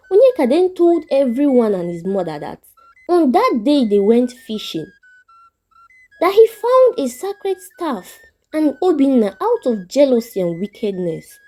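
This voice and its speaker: A woman's voice: high-pitched, mellow. A woman narrating a folktale as a storyteller, reading from a script.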